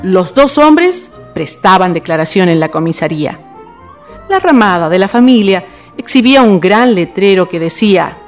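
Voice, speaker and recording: A woman with a Chilean accent giving scripted narration for a radio drama. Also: mid-range voice.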